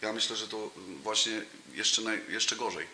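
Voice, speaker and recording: Low, flat-voiced man in a roomy cafe. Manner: halting, many fillers and restarts. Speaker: interview guest, football coach. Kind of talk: casual interview chat. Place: cafe room with brick wall and sofa. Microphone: table mic in front of him. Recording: roomy, noisy room.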